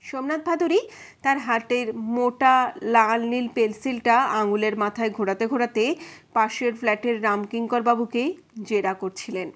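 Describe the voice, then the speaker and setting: High-voiced woman narrating a detective story. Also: normal speed.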